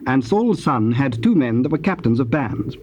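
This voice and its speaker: A man narrating scripture from a script in a measured, formal storytelling manner. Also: medium pitch, very animated, darkish tone. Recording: noisy old cassette tape transfer.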